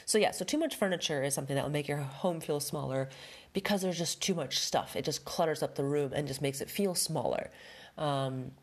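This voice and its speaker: A woman's voice: low voice, a little fast, breathy edge. A middle-aged woman hosting a home decorating podcast, chatting casually with lots of fillers while stuffed up from a head cold. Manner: chatty, rambling, many fillers. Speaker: podcast host, home stager. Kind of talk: casual solo podcast talk.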